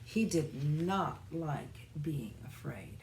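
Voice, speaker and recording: A woman reading a children's storybook aloud with expressive, deliberate phrasing. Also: low voice, a little hiss or hum. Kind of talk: scripted children's storybook narration.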